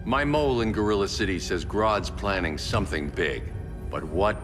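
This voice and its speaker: An actor playing a stern, commanding masked vigilante leader in a video game, speaking tersely and with authority. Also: male voice, fairly low pitch, normal speed, long reverb tail, music in the background, lightly acted. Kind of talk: video game cutscene acting.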